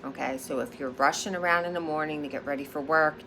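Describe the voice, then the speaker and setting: A woman from Philadelphia with a Philadelphia accent, talking to camera in a casual vlog as a high priestess, emphatic and repeating her points with a frequent "okay?". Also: steady tone, quick pace, fairly bright tone, a little hiss or hum, poor audio. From Philadelphia, United States.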